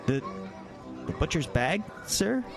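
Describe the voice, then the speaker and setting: A man's voice: on the high side, big pitch swings, slow, vocal fry, full character voice. An actor playing an old male carnival game barker with sing-song patter in a horror radio drama. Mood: nervous. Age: older. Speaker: booth attendant. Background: music score.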